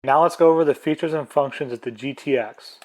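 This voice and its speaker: A man's voice: a little high, fast. A man presenting an instructional product tutorial, explaining plainly step by step.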